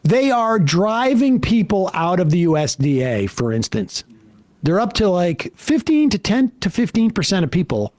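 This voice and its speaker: An American man in his late fifties or older giving casual political commentary as a show host, halting and wry. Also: high voice, big pitch swings, a little mellow, dry recording. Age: late 50s or older.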